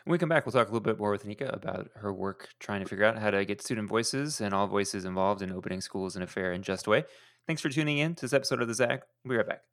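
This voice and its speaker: A man's voice: lowish voice, quick pace, a bit bright. A man hosting a podcast, asking long, conversational, rambling questions. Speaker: podcast host. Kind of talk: interview questions.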